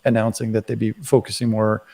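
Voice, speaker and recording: Man with a mellow, slightly creaky voice. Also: lowish voice, level tone. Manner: halting, often tags phrases with "right". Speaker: commentator on a live talk show. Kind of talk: casual discussion of current affairs.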